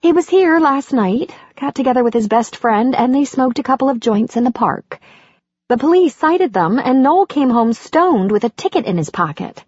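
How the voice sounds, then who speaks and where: An eager, warm middle-aged woman, as an audiobook narrator puts her on, her voice lifting higher than the narration and swinging widely. The tone is a little husky. The recording is dry and dull. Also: lively intonation, moderate pace, dry recording.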